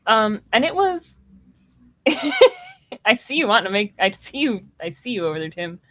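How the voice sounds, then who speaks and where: A woman's voice: on the high side, huge pitch swings, a little slow, a bit bright, no echo. A woman of about thirty chatting casually on a panel, playfully acting out imagined reactions. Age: around 30. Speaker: podcast panelist. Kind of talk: casual chat.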